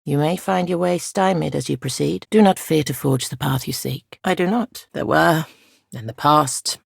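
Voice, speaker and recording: A woman voicing an ancient, weary spirit guardian in a video game, speaking softly in solemn, measured, formal archaic phrases. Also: deep voice, dry recording, studio quality, full character voice. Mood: grave, foreboding. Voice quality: soft, breathy, creaky. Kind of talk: video game character dialogue.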